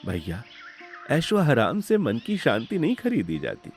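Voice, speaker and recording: A man's voice: medium pitch, huge pitch swings, unhurried, faint music behind. Man voicing a hurt, principled younger brother. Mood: calm, firm. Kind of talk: melodramatic Hindi moral-story cartoon acting.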